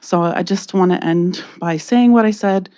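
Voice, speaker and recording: A woman with a Canadian accent speaking softly and hesitantly into a podium microphone, giving public comment in a council chamber. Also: lowish voice, moderate pace. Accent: Canadian English. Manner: soft-spoken, hesitant, earnest. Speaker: public delegate.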